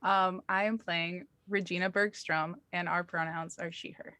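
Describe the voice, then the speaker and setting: A woman's voice: on the low side, steady tone, measured pace, pushed and bright, no echo. A woman joining a tabletop game livestream as a new player, chatting eagerly and giggling. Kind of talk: casual chat.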